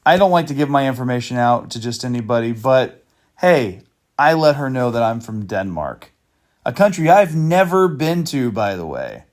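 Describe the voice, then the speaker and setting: A man's voice: mid-range voice, big pitch swings, normal speed. A man hosting a video and talking casually through screenshots of a chat, with dry, sarcastic asides and a loose, meandering delivery. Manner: loose, meandering with fillers and restarts. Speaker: channel host exposing a scammer.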